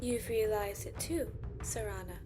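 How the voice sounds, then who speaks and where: A woman's voice: mid-range voice, a little slow, music in the background, natural voice. A woman playing a haughty, ancient siren empress in a fantasy audio drama, with a regal, disdainful delivery. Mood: cool, commanding. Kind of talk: fantasy audio roleplay acting.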